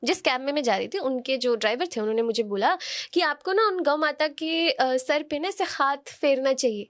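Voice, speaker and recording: A high-voiced woman talks as a card reader on a podcast. She gives spiritual guidance in an instructive, conversational way, addressing listeners directly and checking in with small rhetorical questions. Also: expressive, moderate pace. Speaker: oracle card reader, podcast guest giving horoscope guidance.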